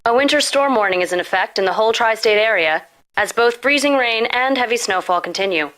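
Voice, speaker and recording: A woman's voice: a little high, animated, a little fast, fairly bright tone, low-quality recording. A woman playing a serious broadcast announcer reading a formal weather bulletin. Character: serious female radio news weather announcer.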